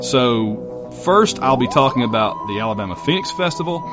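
A man's voice: mid-range voice, lively intonation, normal speed. A man hosting a podcast, giving a casual, conversational introduction with a lightly joking touch. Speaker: podcast host. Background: music.

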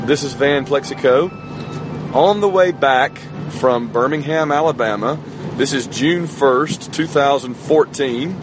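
A slightly hoarse man hosting a podcast solo in a casual, rambling way, recorded on a phone inside a moving car. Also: medium pitch, a little slow. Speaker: podcast host. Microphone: smartphone on the dashboard. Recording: road and rain noise inside moving car. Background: road noise and rain.

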